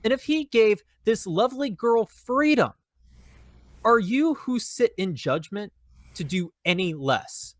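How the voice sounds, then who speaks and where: A man's voice: high voice, lively intonation, slow. A man playing an earnest defending counsel, formal and persuasive, in an old-time radio drama. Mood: pleading. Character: earnest, pleading defending counsel addressing court and jury.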